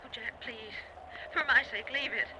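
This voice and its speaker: An actress playing an anxious wife who pleads haltingly with her husband in an old-time radio drama. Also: a little high, steady tone, sharp tone. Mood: anxious, pleading. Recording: noisy old radio recording.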